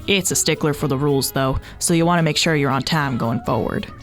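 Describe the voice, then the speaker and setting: Gruff old security guard guy done by a woman, low n curt, kinda folksy, audio story. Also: fairly quick, soft background music, lightly acted. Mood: gruff, matter-of-fact. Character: gruff older male security guard, surly but helpful.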